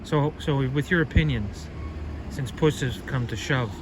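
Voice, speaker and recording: A man's voice: medium pitch, a little slow. A man from the United States asking probing questions in a casual street interview. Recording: street noise.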